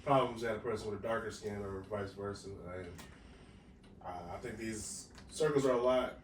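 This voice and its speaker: Low-voiced man in his thirties, echoey room. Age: 30s. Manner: measured, reflective, says "basically" often. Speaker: circle facilitator. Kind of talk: closing remarks in a circle. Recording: echoey room, distant mic, background noise.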